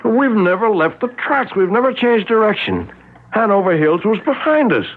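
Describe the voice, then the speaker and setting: An actor playing a wary, reluctant American traveller stranded somewhere strange, in a 1970s radio drama with first-person narration. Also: male voice, sits high, very animated, normal speed. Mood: baffled, uneasy. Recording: tinny vintage radio recording, music behind.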